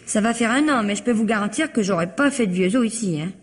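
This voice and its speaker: An actress playing a cheeky, chatty twenty-two-year-old housemaid, defensive and plain-spoken, in an old French radio mystery. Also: female voice, medium pitch, lively intonation, fast talker, a little hiss or hum, natural voice. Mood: frank, gossipy. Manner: colloquial, chatty, naive frankness.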